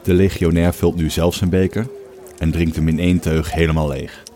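A man's voice: sits low, fairly even intonation, normal speed, warm tone, slight background noise. A man reading scripted narration as the narrator of an audio play. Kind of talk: scripted narration of game events and stage directions.

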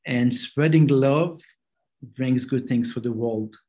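A man, a rabbi, speaking as a guest, with an of Yiddish and many halting restarts. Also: mid-range voice, warm tone. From Israel. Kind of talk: interview answers.

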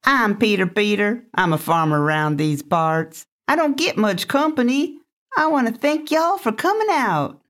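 A man's voice: sits high, expressive, moderate pace, fairly bright tone, voice pushed up. A man voicing a lonely, nervous middle-aged farmer with a folksy rural drawl.

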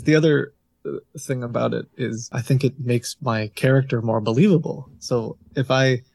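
A man answering interview questions in a relaxed, thoughtful way, often laughing. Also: medium pitch, vocal fry, no echo. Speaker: scam-baiting streamer, guest.

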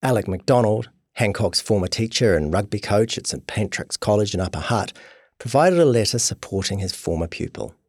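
A man's voice: fairly low pitch, animated, brisk, a little mellow, husky, no echo, clean studio sound. A man narrating a scripted documentary podcast.

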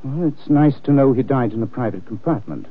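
A man playing a suave, dryly witty gentleman sleuth in an old-time radio drama, unhurried and given to wry understatement. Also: mid-range voice, close to an everyday voice. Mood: dryly amused. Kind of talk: 1940s-50s radio drama acting. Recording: tinny, muffled old-time radio recording.

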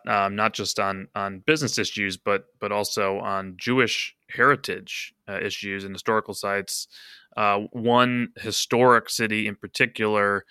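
A man hosting a podcast interview, asking questions in a halting way with repeated words. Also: fairly low pitch, bright, forceful tone, clean tone, no room sound, clean studio sound. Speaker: podcast host.

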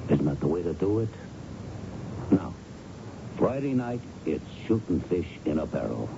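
A noisy, dull old radio drama recording carries a smooth, bullying racketeer. His voice is extremely low and swings freely as he needles and coaxes in street slang. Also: very animated, measured pace, exaggerated voice. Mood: pushy, persuasive. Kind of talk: 1970s radio drama acting.

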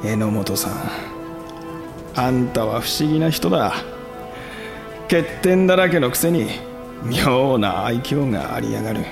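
An actor playing a bold, blunt, battle-hardened samurai commander in a Japanese period voice drama, with rough-edged masculine speech. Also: male voice, mid-range voice. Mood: wry, fond.

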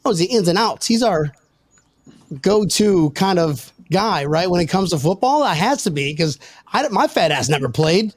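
High-voiced jokey man hosting a podcast. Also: animated, normal speed, no room sound. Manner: jokey, self-deprecating, profane. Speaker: podcast host. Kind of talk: casual sports podcast banter.

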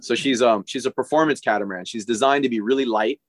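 A man's voice: mid-range voice, fast talker, no room sound, studio quality. A man in his forties, a guest on a podcast, chatting casually and rambling with many fillers and restarts. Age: 40s. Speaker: podcast guest, diver living on a boat. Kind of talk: casual interview chat.

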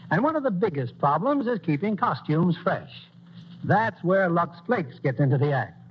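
A man hosting and introducing a 1940s radio drama in a polished, upbeat showman style. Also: a little high. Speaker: radio drama producer and host. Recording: dull old radio broadcast, faint hiss.

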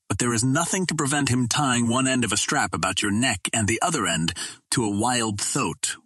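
A man voicing a stern old alien warrior in an audiobook, speaking formally with measured, cold menace. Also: fairly low pitch, sharp tone, breathy edge, no room sound, clean studio sound, full character voice.

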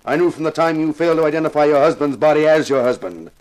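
An actor playing a wry, world-weary television star of a detective show, in 1950s radio drama style, dramatic and wisecracking. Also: male voice, fairly high pitch, lively intonation, fast, a little mellow, lightly acted. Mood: hard-boiled, triumphant. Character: wry, world-weary male TV actor who plays a hard-boiled inspector. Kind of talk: 1950s radio detective drama acting with first-person narration.

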